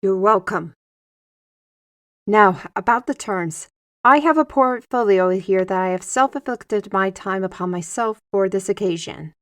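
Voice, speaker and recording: A woman's voice: medium pitch, unhurried, dry recording, lightly acted. A woman playing a defiant, sardonic young artist with magical powers in a fantasy audio drama, speaking formally and pointedly. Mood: formal, curt.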